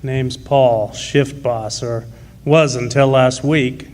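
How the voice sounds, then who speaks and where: An actor playing a gruff, proud working-class miner and union man in a radio drama, with plain folksy speech. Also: mid-range voice, fairly even intonation, takes it slowly, a little mellow, lightly acted. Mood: guarded, challenging. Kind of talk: live original radio drama.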